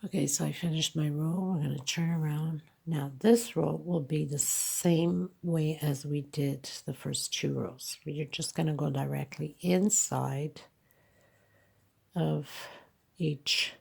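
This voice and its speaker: Woman, congested, crochet tutorial, slow pace, husky voice. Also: low voice, fairly even intonation, darkish tone. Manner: slow, counting stitches aloud with frequent okays. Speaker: crochet teacher. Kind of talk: hands-on tutorial narration.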